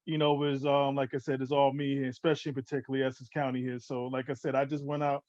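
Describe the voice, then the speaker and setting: A man's voice: mid-range voice, flat delivery, quick pace, darkish tone, no room sound, lo-fi sound. A man from New Jersey hosting a casual interview, eager and chatty, who keeps saying "like I said" and laughs often. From New Jersey, born in Newark, raised in Orange. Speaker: interview host.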